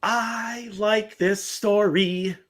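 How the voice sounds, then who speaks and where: A man with a fairly high speaking voice, chatting from home over a webcam call. He is playful and jokey and laughs often. When he reads a listener story aloud, he slips into a sing-song delivery. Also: fairly even intonation, very slow, a bit bright. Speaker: podcast co-host. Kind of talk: casual chat, reading a listener story aloud. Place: room at home.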